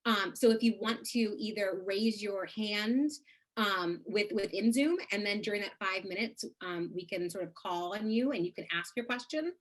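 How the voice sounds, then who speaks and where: Woman, co-host, lots of fillers, some stuttering, everyday pitch, video call. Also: normal speed, poor audio. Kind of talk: giving event instructions.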